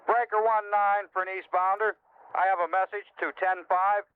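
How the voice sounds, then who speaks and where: A man playing a brisk, helpful CB trucker, talking in clipped slang through a radio filter. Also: high voice, flat delivery, smooth. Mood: businesslike. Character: Mean Machine, brisk helpful male CB trucker. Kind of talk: scripted CB radio role-play. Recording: tinny band-limited CB radio.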